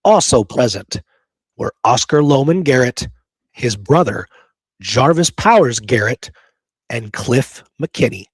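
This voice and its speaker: A man's voice: mid-range voice, expressive, takes it slowly, breathy edge, no echo, poor audio. A man hosting a casual history talk from notes, speaking in an emphatic, conversational way and often checking in with the listener. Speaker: podcast host.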